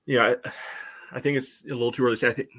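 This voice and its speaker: A man co-hosting a soccer podcast, giving casual analysis in a measured, halting way full of verbal padding. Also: medium pitch, fairly even intonation, a bit bright. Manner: hesitant, measured, frequent "you know" hedging.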